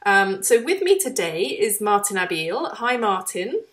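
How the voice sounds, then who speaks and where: A woman's voice: medium pitch, sing-song, a little slow. A woman hosting an online course interview, chatty with frequent "um"s as she introduces and questions her guest. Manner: friendly, chatty, many "um"s.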